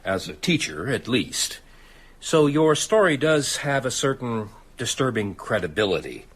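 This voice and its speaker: Stern stuffy dad in a radio play, husky voice, very formal, pitch goes all over when he lectures. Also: sing-song, unhurried. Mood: grudging, measured. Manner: formal, pompous, interrogating. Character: stern skeptical father, pompous, rational, domineering. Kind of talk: radio drama acting.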